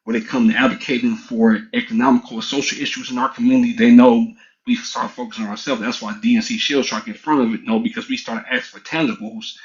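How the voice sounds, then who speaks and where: A man's voice: mid-range voice, steady tone, fast talker, muffled recording. A middle-aged man speaking up in an audio room, arguing assertively in a rambling, repetitive way. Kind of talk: casual heated argument.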